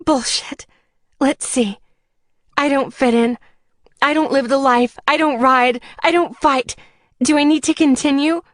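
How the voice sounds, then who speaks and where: A woman's voice: high voice, steady tone, a little slow, long pauses, no echo, clean studio sound, pitched above the performer's own voice. A woman voicing a weary, insecure young woman in an audiobook, stubborn, bitter and defiant. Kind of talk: audiobook character dialogue.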